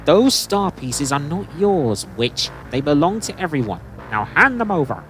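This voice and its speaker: A woman's voice: low-pitched, very animated, measured pace, soft background music. A woman voicing a firm, no-nonsense heroine in an audio drama, direct and challenging. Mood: defiant. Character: firm, no-nonsense heroic keeper of magic star pieces, Maple.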